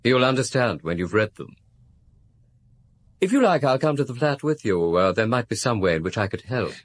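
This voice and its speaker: A count's nephew in a radio play. His mid-range voice swings very widely in pitch. He is polite and well-bred but hesitant, feeling his way through phrases. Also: big pitch swings, moderate pace. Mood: polite, insinuating. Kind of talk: radio drama acting.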